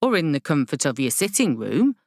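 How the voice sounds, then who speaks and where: A woman's voice: deep voice, big pitch swings, fairly quick, a little mellow, no room sound, clean studio sound, full character voice. A woman reading an audiobook, voicing a blunt, grumbling woman learner who questions things in a colloquial, querulous way. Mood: grumbling, reluctant. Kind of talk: audiobook character dialogue.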